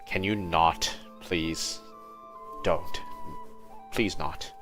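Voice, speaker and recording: A man with a deep voice gives casual commentary on a game stream. He takes his time, thinking aloud and murmuring to himself. Light game music plays underneath. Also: fairly even intonation, drawn-out words. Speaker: game livestreamer. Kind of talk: casual gameplay commentary, reading quest text aloud. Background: soft video game music.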